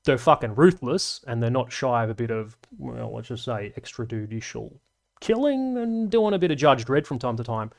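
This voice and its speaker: A man's voice: mid-range voice, animated, moderate pace, gravelly, darkish tone. A young man hosting a live stream, rambling and swearing casually as he reads chat and laughs along.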